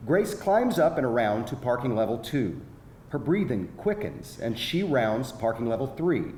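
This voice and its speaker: Animated male narrator in an echoey room. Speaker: narrator reading screenplay directions. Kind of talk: scripted narration, live table read. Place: echoing indoor venue at live reading. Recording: echoey live room, faint rumbling noise.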